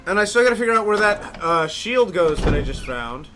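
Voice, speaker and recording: A man's voice: high voice, sing-song, moderate pace. A man casually commentating his live gameplay at home, joking and chatty. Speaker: game streamer. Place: home, indoors. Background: game music.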